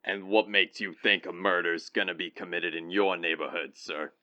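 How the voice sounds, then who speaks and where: A man playing an unhurried, dismissive police desk officer who placates and patronizes, in old-time radio drama style. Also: low voice, fairly even intonation, sharp tone, highs cut off.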